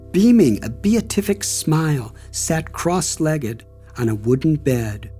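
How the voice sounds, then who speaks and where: Slow, reflective older man narrating. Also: medium pitch, mellow. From United States. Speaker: author reading his memoir. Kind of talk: audiobook narration. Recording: light music under the voice.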